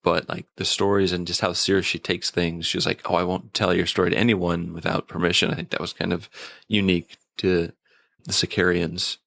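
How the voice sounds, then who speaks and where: A man giving a casual episode review in a thoughtful, slightly halting manner, often repeating a word as he goes. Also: deep voice, little pitch movement, vocal fry, fairly bright tone, dry recording.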